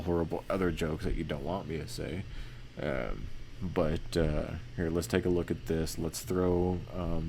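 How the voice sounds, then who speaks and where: Male trading educator, deep voice, flat and casual delivery, light noise behind. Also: creaky. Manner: monotone, many fillers and restarts. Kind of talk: casual screen-share chart analysis.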